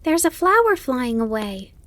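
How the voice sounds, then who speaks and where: Woman acting a young male fawn, high voice, innocent, eager, wide-eyed, polite, storybook character. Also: very animated, unhurried, fairly bright tone, full character voice. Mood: excited, curious.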